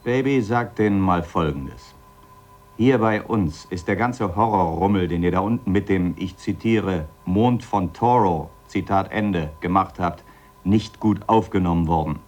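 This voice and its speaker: A sceptical astronaut in a radio play about space. The voice is deep in pitch. He reasons aloud slowly and deliberately, with laconic, sardonic understatement. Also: darkish tone. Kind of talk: radio drama acting.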